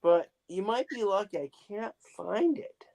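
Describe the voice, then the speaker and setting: A man's voice: high-pitched, expressive, unhurried, creaky, a little mellow. A man chatting casually and with good humor over a video call. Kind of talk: casual chat.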